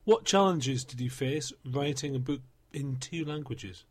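A man's voice: mid-range voice, a little slow. A man hosting a show, putting brief, formal, prepared questions to a guest author. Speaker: interviewer, show host. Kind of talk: reading prepared interview questions.

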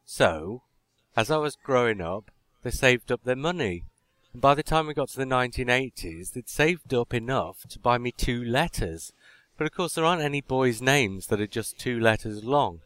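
A man hosts a podcast in a dry, echo-free sound. His voice sits at a middle pitch, and he spins out anecdotes casually, with teasing mock exasperation. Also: expressive, moderate pace, fairly bright tone. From Yorkshire, England. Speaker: podcast host. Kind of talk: casual banter and anecdote telling.

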